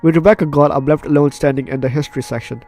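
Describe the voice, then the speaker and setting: A man's voice: on the high side, fairly even intonation, fast, a little mellow. Informal man reading game story over music. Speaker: gaming video host. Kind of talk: visual novel let's play, reading narration aloud with asides.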